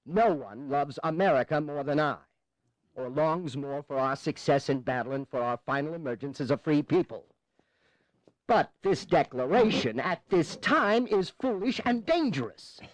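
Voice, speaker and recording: A man's voice: fairly high pitch, expressive, normal speed, no room sound, natural voice, low-quality recording. An actor playing an earnest colonial statesman in an audio history drama, delivering formal, emphatic oratory that urges caution. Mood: urgent, impassioned. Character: earnest colonial statesman passionately urging caution against independence.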